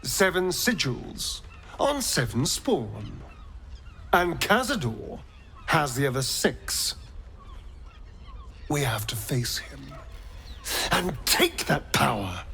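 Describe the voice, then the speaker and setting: A man's voice: medium pitch, big pitch swings, extremely slow, creaky, long pauses, pushed and bright, a little hiss or hum, exaggerated voice. A man playing a theatrical, scornful vampire in a video game. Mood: scornful, scheming. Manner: theatrical, sardonic, drawling. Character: theatrical, scornful, vengeful male vampire spawn.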